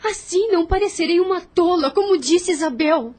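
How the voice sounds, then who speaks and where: Woman acting high-voiced earnest young Brazilian mistress. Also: moderate pace, a little mellow. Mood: pleading. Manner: formal, earnest pleading. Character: earnest young well-born mistress, anxious, insistent daughter. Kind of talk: audio drama acting.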